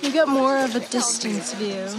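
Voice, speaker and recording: A young woman narrating a casual travel vlog, calmly explaining the route. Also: a little high, moderate pace, a crowd in the background, rough recording. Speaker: travel vlogger. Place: outdoors on a snowy canyon rim. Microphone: phone camera at arm's length.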